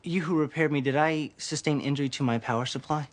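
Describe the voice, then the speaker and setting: Man as a polite, formal android; inquisitive, precise, even delivery. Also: fairly high pitch, fast, lightly acted. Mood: calm, curious. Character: polite curious male android. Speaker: actor in a series clip.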